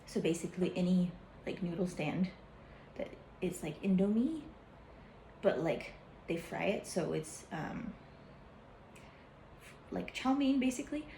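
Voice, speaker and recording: A chatty young woman rambles through her travel food stories, her talk full of fillers and quick asides. Her voice is mellow and relaxed. It rings a little in an echoey room. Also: fairly low pitch, slight background noise. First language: English, some Hindi learned. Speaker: travel vlogger. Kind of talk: casual chat.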